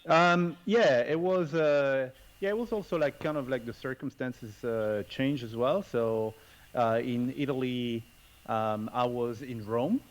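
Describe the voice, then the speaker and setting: A man's voice: mid-range voice, a little slow, highs cut off. Man, from France, podcast guest, casual, hesitant, remote call. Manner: hesitant, many fillers and restarts, laughs often. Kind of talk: casual interview chat. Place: home in Bali.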